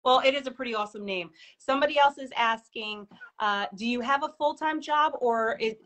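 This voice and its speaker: Woman host, 40s, higher, bright, effusive interviewer. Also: brisk. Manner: effusive and enthusiastic. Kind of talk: live interview. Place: indoor room. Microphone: video call.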